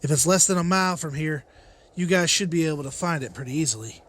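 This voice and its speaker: A man's voice: a little high, a bit bright, lightly acted. A man playing an anxious, determined father and survivor leader in a motion comic, speaking with urgency. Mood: urgent, determined. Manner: urgent, halting. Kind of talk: dramatized motion comic dialogue.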